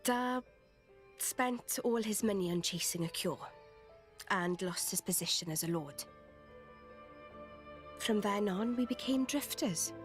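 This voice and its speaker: Woman acting young ex-noble drifter, feisty, blunt, cheeky, unhurried delivery, video game cutscene, music underneath. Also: mid-range voice, natural voice. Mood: wistful, sad. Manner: informal, cheeky, slangy.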